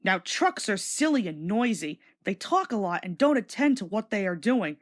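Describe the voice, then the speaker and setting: A woman's voice: mid-range voice, very animated, moderate pace. A woman reading a children's story aloud as a scripted read-along narrator.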